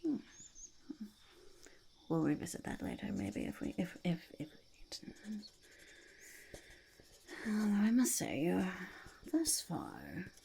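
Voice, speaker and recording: A woman voicing an eccentric, self-important goblin conducting a whispered ASMR interview, halting and fussy, with a creaky, gravelly voice. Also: lowish voice, wildly swooping pitch, dark tone, heavily acted. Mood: grudgingly impressed. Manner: halting, fussy, self-repeating, refers to self in third person. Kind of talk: ASMR roleplay, whispered and soft-spoken interview.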